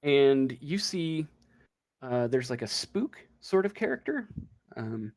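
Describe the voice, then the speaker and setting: A man running a tabletop game as game master, improvising the narration haltingly as he thinks aloud. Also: mid-range voice, sing-song, darkish tone.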